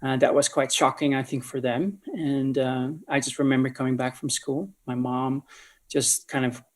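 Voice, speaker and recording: A man from the Netherlands, telling a personal story as an interview guest in a halting, think-aloud way. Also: mid-range voice, flat delivery, a little mellow. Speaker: podcast guest, ministry leader.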